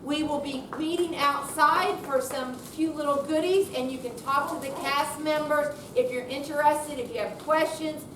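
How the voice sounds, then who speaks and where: A woman's voice: high voice, steady tone, normal speed, few pauses. A woman giving an informal, chatty thank-you speech to an audience as a program organizer. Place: room at a senior center. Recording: roomy, distant mic, noisy.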